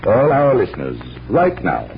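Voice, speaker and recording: Confident magician detective, old radio drama, authoritative, animated delivery, slight hum and noise. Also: male voice, medium pitch, creaky, lo-fi sound. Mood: showmanlike, instructive. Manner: brisk, authoritative explaining.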